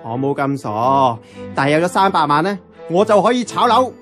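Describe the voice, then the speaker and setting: An actress playing a brash, money-minded slacker nearing thirty, with a Hong Kong Cantonese accent and cocky, slangy radio drama delivery. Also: female voice, low-pitched, sing-song, moderate pace, soft background music. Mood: boastful, scheming. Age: young adult.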